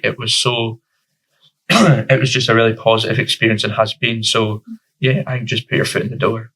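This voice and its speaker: Teenage Scottish lad, flat delivery. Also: male voice, lowish voice, poor audio. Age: teens. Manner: relaxed, informal with frequent fillers. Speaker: youth councillor guest. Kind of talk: casual interview answers.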